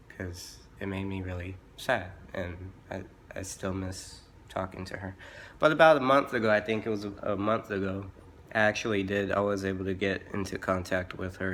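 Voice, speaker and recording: A hoarse young man who has been sick, talking haltingly with many fillers and restarts in a casual vlog from home. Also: low voice, fairly bright tone, slight background noise. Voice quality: rough-voiced. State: hoarse from illness. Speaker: vlogger.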